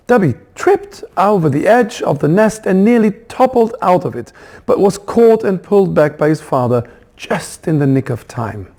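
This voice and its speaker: Man, children's storybook narration, author reading, expressive, highly animated intonation, fairly high voice. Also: normal speed.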